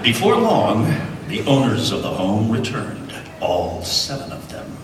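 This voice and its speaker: A man's voice: medium pitch, wildly swooping pitch, unhurried. A man doing a playful, theatrical live storybook narration, recorded from far off in a big hall. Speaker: voice actor reading as narrator at a panel. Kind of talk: live cold read of a storybook script. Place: large indoor convention panel hall. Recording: echoey convention hall from far off, noisy crowd. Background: audience and room noise.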